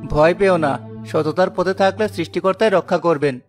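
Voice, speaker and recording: An actor playing a poor, honest, devout family man in a cartoon moral tale, speaking in an earnest, measured way. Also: high-pitched, soft background music, natural voice. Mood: firm, pious. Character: poor, honest porter, a devout, steadfast husband and father.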